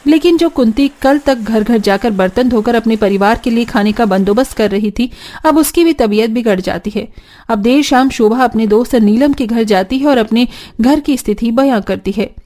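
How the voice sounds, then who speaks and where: A woman's voice: a little high, moderate pace, mellow. A woman narrating a scripted cartoon story as its storyteller.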